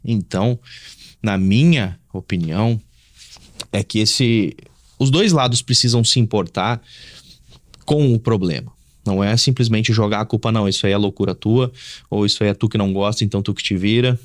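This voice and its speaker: Low-voiced southern Brazilian man, dry sound. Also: moderate pace, no room sound. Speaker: host giving relationship advice. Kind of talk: casual advice talk.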